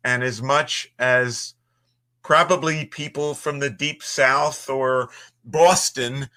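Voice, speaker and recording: A man from Philadelphia with a clear Philly accent, talking slowly and deliberately. His voice is bright and forward, and he explains his points at length in a friendly, articulate way as a podcast guest. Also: medium pitch, fairly even intonation. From Northeast Philadelphia. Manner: measured, articulate, chatty. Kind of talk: conversational podcast interview. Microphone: audio-only remote podcast call.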